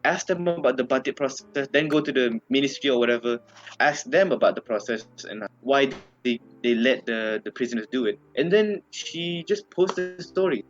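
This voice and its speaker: A man's voice: medium pitch, normal speed, dull audio, soft background music. A young man with a Malaysian English accent, co-hosting a casual podcast chat and laughing often. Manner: giggly, laughs often between lines. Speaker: podcast co-host.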